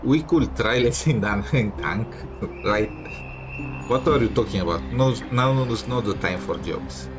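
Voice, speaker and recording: A man's voice: lowish voice, unhurried. A man giving casual, hesitant commentary as he plays through a game. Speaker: game streamer. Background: game music.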